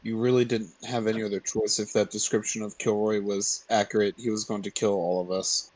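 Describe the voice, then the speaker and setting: A man's voice: fairly low pitch, level tone, a little fast, darkish tone, pitched below the performer's own voice, lightly acted, low-quality recording. A man playing an awkward orphaned teenage adventurer in a tabletop game, hesitant and reassuring. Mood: soft, reassuring.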